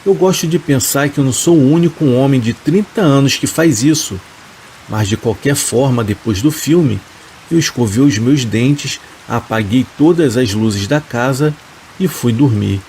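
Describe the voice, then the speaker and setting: A man calmly reading first-person horror stories in a steady, scripted narration. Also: medium pitch, normal speed. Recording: faint rain and hiss behind the voice. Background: rain sounds.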